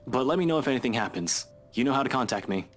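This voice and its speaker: An actor playing a nonchalant, dry-witted investigator in a visual novel, speaking in an offhand, understated way. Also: male voice, mid-range voice, expressive, quick pace, soft background music, close to an everyday voice. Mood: guarded, casual.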